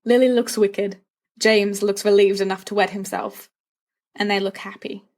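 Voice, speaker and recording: A woman's voice: mid-range voice, little pitch movement, normal speed, a little mellow. A woman narrating a story from a script, reading expressively as for an audiobook. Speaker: audiobook reader of fan fiction.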